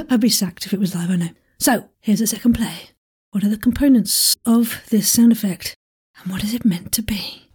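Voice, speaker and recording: A woman hosting a podcast quiz in a playful, chatty way, with self-deprecating asides and a husky voice. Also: mid-range voice, normal speed, a little mellow.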